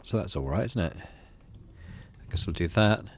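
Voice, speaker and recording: A man's voice: deep voice, lively intonation, measured pace, fairly bright tone, slight background noise. A man giving casual gameplay commentary, often muttering to himself as he plays. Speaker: gaming host. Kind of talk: casual gameplay commentary mixed with reading game text aloud.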